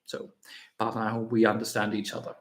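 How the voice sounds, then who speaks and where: A man's voice: lowish voice, monotone, fairly quick, slight background noise. A man with a Finnish accent, hosting a casual live stream Q&A and answering viewer questions with many fillers and restarts. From Finland. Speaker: miniature painting streamer.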